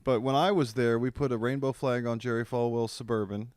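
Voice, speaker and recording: A man guesting on a casual podcast, telling long profane anecdotes in a deadpan way. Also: mid-range voice, brisk, smooth.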